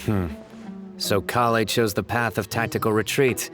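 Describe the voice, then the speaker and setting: An actor playing a solemn young male warrior in a video game, speaking in formal, grandiose phrases with a deadpan manner. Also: lowish voice, moderate pace, loud music behind the voice, natural voice. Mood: deadpan, ominous. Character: solemn young male warrior, grave and earnest about card duels. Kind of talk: anime-style video game voice acting.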